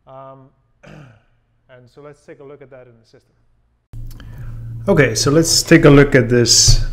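A man in his thirties giving a relaxed software tutorial, talking through the steps with plenty of fillers. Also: a little high. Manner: relaxed and explanatory, with many fillers. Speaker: software trainer. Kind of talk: casual screen-recorded software tutorial. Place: room with a brick wall and whiteboard, then voice-over on screen recording.

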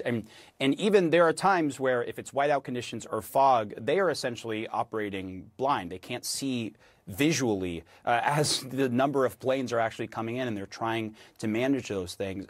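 A man's voice: medium pitch, dry recording. An American man in his thirties anchoring a live news interview from a TV studio on a lapel mic.

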